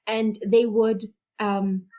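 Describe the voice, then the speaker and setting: A young woman from Zimbabwe talks as a podcast guest in a relaxed conversational style. She tells her story with energy and often restarts her sentences. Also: mid-range voice, very slow, lo-fi sound. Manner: animated storytelling with repeated restarts. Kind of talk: casual conversation.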